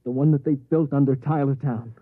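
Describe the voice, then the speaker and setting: A man's voice: mid-range voice, steady tone, fairly quick, close to an everyday voice. An American actor in old-time radio drama, playing a nervous, paranoid, furtive man with a hesitant, secretive, earnest delivery. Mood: earnest, conspiratorial. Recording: tinny, band-limited vintage radio recording.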